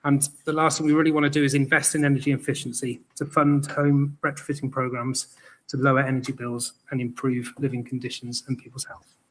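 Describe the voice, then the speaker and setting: A man at a hustings, briskly listing his party's policies. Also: medium pitch, level tone, fairly bright tone, low-quality recording. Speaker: election candidate. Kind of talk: hustings speech.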